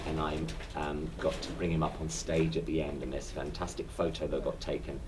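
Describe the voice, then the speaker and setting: A man's voice: rumbling low, level tone, fairly quick, recorded from a distance, noisy background. A man with a London accent, a stage actor telling anecdotes in a casual interview, often restarting his sentences. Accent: London English. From England. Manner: chatty anecdotal storyteller with frequent restarts.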